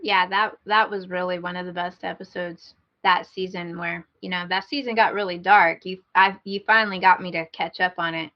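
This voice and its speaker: A woman's voice: fairly low pitch, steady tone, moderate pace, hardly any pauses, muffled recording. A woman co-hosting a casual podcast, chatting informally with a wry manner, recorded over an internet call. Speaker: podcast co-host.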